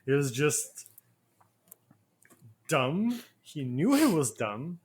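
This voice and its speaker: A man who speaks English as a second language, chatting about films in an argumentative, animated way as a podcast panelist. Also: fairly high pitch, very slow, husky. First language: not English. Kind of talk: casual film chat.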